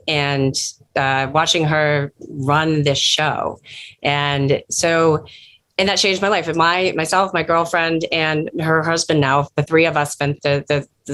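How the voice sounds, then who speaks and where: A middle-aged American woman talks conversationally, as on a panel. Her pitch stays quite even as she tells things in a personal, storytelling way. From Columbus, Ohio, United States. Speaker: panelist from an entertainment company.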